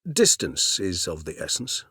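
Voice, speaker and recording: An actor playing an urbane, erudite ancient male vampire in a video game, speaking in eloquent, formal, precise phrases with a wryly measured manner. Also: low-pitched, sing-song, bright, forceful tone, breathy edge, dry recording, studio quality, heavily acted. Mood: thoughtful, courteous. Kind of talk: video game character acting.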